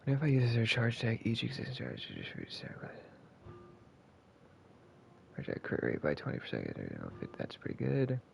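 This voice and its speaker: A man's voice: medium pitch, wildly swooping pitch, fairly quick, gravelly, pauses often, a little mellow, dull audio, faint music behind. A man casually commentating as he streams a game, muttering and thinking aloud to himself. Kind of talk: casual live gameplay commentary.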